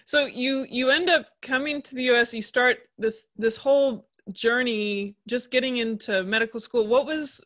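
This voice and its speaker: Bright-voiced woman podcast host. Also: on the high side. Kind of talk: conversational podcast hosting.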